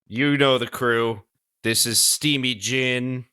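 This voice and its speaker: A man voicing a grumpy, irritated male podcast producer who talks in gruff, clipped bits. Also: medium pitch, fairly even intonation, takes it slowly, sharp tone. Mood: grumbling.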